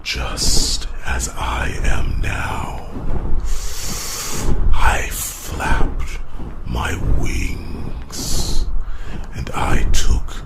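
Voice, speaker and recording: A man voicing a huge, proud, protective dragon with a growling, breathy voice, telling his tale solemnly with dramatic pauses in an ASMR fantasy roleplay. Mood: proud, awed. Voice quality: very deep, gravelly, breathy growl. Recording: loud rushing ambient noise over voice.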